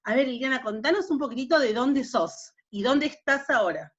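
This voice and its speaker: A woman hosting an online talk on a video call, welcoming and measured, with many filler pauses. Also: sits high, muffled recording. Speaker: host, moderator.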